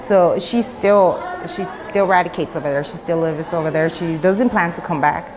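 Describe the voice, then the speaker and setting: Low-voiced woman in her thirties, rambling. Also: moderate pace, crowd noise, poor audio. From Mexico, raised in the United States. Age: 30s. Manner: loose and talkative, many fillers and restarts. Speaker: interviewee. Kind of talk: candid personal interview. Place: women's prison in Mexico.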